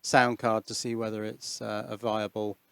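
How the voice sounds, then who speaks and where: Gravelly man demonstrating electronics, close and dry. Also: on the low side, flat delivery, moderate pace, no echo. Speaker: host of an electronics hobby channel. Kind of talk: informal hands-on demonstration narration. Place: indoors at an electronics workbench. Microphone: headset microphone.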